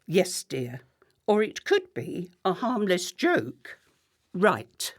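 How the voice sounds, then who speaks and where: A woman's voice: fairly low pitch, wildly swooping pitch, slow pace, heavily acted. A woman playing a contemptuous, sarcastic middle-class wife in a radio play, with a cutting, theatrical delivery. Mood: acidic mockery.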